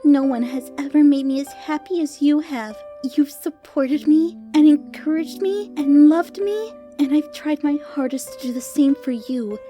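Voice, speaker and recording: A woman voicing a nervous, stammering, lovestruck female monster in a squeaky story-reading voice. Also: mellow, soft background music. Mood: tender, pleading. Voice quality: high, squeaky. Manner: stammering, halting, anxious. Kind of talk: dramatic fan fiction reading.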